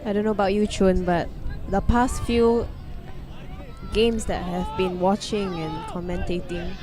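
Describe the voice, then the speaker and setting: A woman's voice: medium pitch, fairly even intonation, measured pace. A woman doing chatty, detailed live play-by-play commentary at an outdoor field. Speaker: sports commentator. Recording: outdoor recording with clear crowd noise. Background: crowd.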